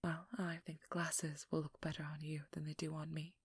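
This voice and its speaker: A woman playing a determined, guarded and protective vampire hunter in an intimate ASMR role-play, hushed and often whispering, with halting, earnest delivery. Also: sits low, level tone, slightly rough, dry recording, studio quality. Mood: firm, protective. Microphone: close microphone in a dry room.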